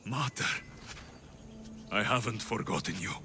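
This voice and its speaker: An actor playing a roguish, headstrong young warrior in a dramatic video game. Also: male voice, lowish voice, a little slow, sharp tone, slight background noise. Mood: wistful.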